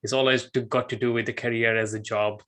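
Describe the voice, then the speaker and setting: Halting male podcast host. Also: mid-range voice, level tone, fairly bright tone. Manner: halting, filler-heavy, many "like"s. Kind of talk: interviewing a guest.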